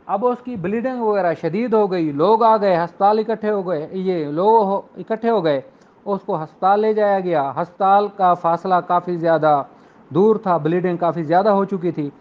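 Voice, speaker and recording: A man giving an explanatory lecture in a didactic way, mixing in slowly and deliberately read English phrases. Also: high voice, lo-fi sound. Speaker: law lecturer. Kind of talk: explanatory law lecture with examples, code-switching into English.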